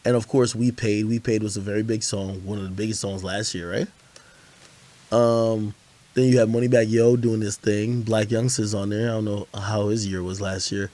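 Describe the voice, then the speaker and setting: A man's voice: fairly low pitch, little pitch movement, moderate pace, mellow. A man streaming hip-hop commentary, talking in loose, profane slang and often repeating phrases as he answers viewers. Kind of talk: casual livestream chat answering viewer questions.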